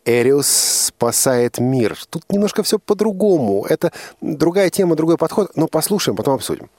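A man's voice: fairly high pitch, big pitch swings, moderate pace, a little mellow. A man hosting an informal radio interview, probing by repeating and rephrasing his questions. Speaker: radio host interviewing a young guest.

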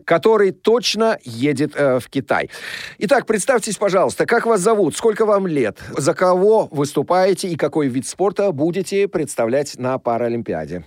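A man's voice: a little high, no echo, clean studio sound. A man conducting a conversational radio interview as a correspondent.

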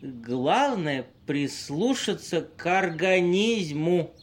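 A man playing a drunken, pompous homeless man in an amateur audio play, halting and stumbling over long words. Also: a little high, animated. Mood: tipsy, earnest.

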